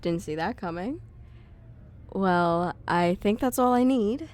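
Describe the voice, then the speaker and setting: A man's voice: sits high, animated, slow pace, pitched above the performer's own voice. A man voicing a sly woman journalist who teases and probes the inventor with her questions in a fan audio drama. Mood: teasing. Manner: teasing, probing questions.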